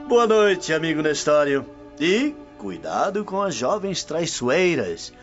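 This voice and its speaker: A man's voice: fairly high pitch, full character voice. An actor with a Brazilian accent playing a jovial, teasing, wealthy Roman womanizer in a radio drama. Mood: amused, knowing. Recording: dull, muffled studio track.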